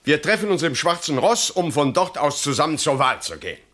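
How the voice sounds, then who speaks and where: An actor in a 1960s German radio play, playing a pushy, grumbling country landowner, brisk and businesslike. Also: male voice, on the high side, sing-song, fairly bright tone.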